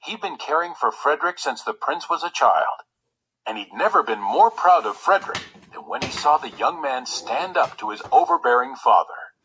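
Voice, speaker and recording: Husky man reading a children's story. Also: mid-range voice, lively intonation, moderate pace, pushed and bright, poor audio. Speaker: story reader. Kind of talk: expressive read-aloud narration for children.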